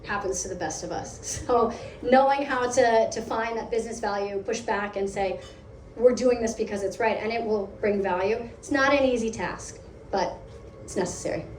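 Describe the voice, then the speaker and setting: A woman's voice: a little high, normal speed, roomy sound. Woman, American accent, meetup talk, conversational, distant mic, faint background hum. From United States. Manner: lively, conversational, asks the audience questions. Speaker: product lead giving a meetup talk. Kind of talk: live conference talk. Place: event hall.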